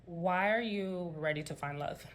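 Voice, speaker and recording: A woman with a fairly low voice and faint noise behind her. She is a dating show contestant, putting questions to suitors and explaining choices. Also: a little slow.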